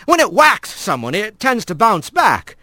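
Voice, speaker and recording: A man voicing a nervous, quick-witted young male rat who speaks hesitantly and politely. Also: high-pitched, wildly swooping pitch, pushed and bright, dry recording, studio quality, pitched above the performer's own voice, full character voice. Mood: careful.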